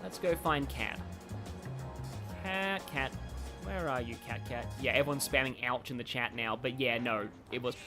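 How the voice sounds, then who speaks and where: A game streamer talks casually on a livestream, rambling and reacting out loud to what happens in play. The voice sits at a middling pitch, with game music playing faintly behind it. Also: normal speed.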